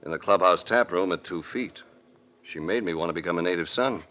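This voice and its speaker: A man's voice: sits low, a little fast, sharp tone. An actor playing a suave, wry adventurer hero who narrates his own case in an old radio detective drama. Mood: wry narration. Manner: smooth, wry, flirtatious, unflappable. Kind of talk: 1940s-50s radio detective drama acting and first-person narration.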